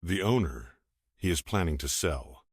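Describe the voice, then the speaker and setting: Audiobook bit part: anxious, worn-out middle-aged waitress, man voicing her, hesitant and a touch husky. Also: deep voice, expressive, measured pace, dry recording, clean studio sound, voice pushed up, heavily acted. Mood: worried.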